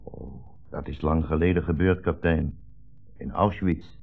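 An actor playing a mild, patient old blind rabbi in a 1960s radio play, speaking politely and with measured precision. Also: male voice, rumbling low, gravelly, heavily acted. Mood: subdued. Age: older. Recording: muffled old radio recording, narrow band.

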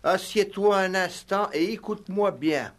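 An actor playing an eager, self-important village police sergeant in a French radio play, pompous, stammering and obsequious. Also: male voice, high voice, a little slow, a bit bright. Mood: self-important, flustered. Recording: vintage 1960s radio recording.

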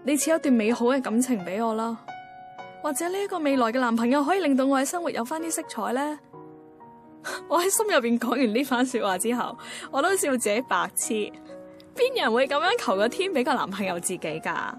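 A woman's voice: sits high, lively intonation, brisk. A woman playing a wistful, introspective young working woman in a radio drama, with a Hong Kong Cantonese accent. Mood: pleading, then reflective. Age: young adult. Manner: introspective, musing. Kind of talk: radio drama first-person monologue. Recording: clear music bed behind voice.

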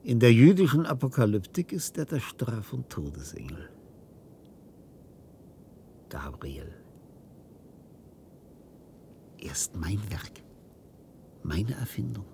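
An actor playing a weary, guilt-ridden old inventor in a science-fiction radio drama, halting and theatrical. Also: male voice, lowish voice, expressive, vocal fry, noisy background. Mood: grave. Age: older. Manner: halting, theatrical delivery with hushed passages.

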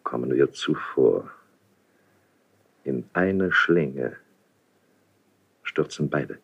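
An actor playing a sinister, servile court schemer in a hushed, insinuating 1970s German radio play style. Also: male voice, rumbling low, animated, drawn-out words, gravelly, husky, full character voice. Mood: conspiratorial. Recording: dull, muffled vintage radio recording.

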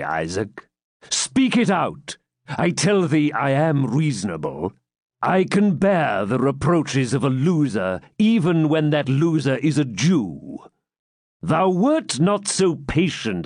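A man voicing a cruel, sarcastic baron who speaks sternly and slowly. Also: on the high side, no echo, studio quality, voice pushed up, exaggerated voice. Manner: stern, slow, menacing.

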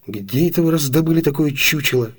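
An actor playing a wry, obliging innkeeper who is a confidant, in theatrical radio drama style. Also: male voice, on the high side, quick pace, slightly rough. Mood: wry, reassuring.

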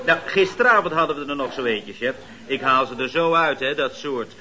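A man's voice: mid-range voice, lively intonation, brisk, sharp tone. An actor playing an eager, righteous and naive employee in a radio comedy, earnest, emphatic and deferential to his boss. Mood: self-satisfied. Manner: earnest, emphatic, keeps saying "chef".

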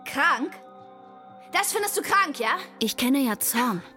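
High-voiced, intense teenage girl. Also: sing-song, slow, sharp tone, exaggerated voice. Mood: agitated, indignant, then intense, reflective. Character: teenage girl in love, restless, intense and defiant. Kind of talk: German radio drama, intimate first-person narration and dialogue. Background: music under the voice.

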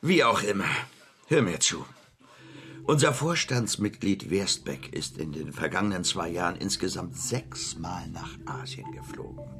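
A man acting a powerful, manipulative middle-aged businessman in a German radio drama, measured, insinuating and condescending. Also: low voice, lively intonation, a bit bright. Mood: calculating.

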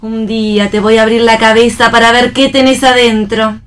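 A woman's voice: fairly high pitch, little pitch movement, normal speed, clear, resonant tone, natural voice. A woman with an Argentine accent playing a playful, teasing young wife in a read-aloud stage play. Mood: playful banter. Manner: teasing, bantering.

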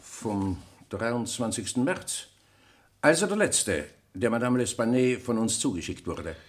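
A man's voice: medium pitch, animated, measured pace, lightly acted. A man playing a self-important, fussy banker giving formal testimony in a German radio play. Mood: precise, formal. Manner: formal, fussy, easily affronted. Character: fussy, self-important, hurried male banker called as witness.

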